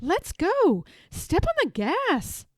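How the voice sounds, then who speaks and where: An eager young monkey boy from a storybook, adventurous and cheerful, acted out by a woman. The voice is high and exclaims freely, with a dry sound. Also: huge pitch swings, slow pace, no room sound, full character voice. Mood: excited.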